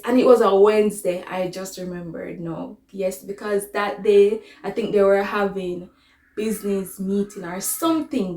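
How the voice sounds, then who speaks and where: Young female vlogger, Caribbean accent, unhurried delivery, informal rambling storytime chat, recorded in an echoey room. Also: mid-range voice, darkish tone. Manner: informal, digressive, conversational. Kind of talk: casual storytime chat to camera. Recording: echoey, roomy sound.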